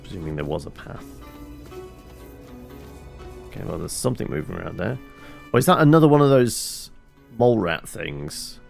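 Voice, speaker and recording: Lively low-voiced man with gravelly creak. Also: normal speed, pauses often, faint music behind. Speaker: game streamer. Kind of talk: casual game commentary, thinking aloud.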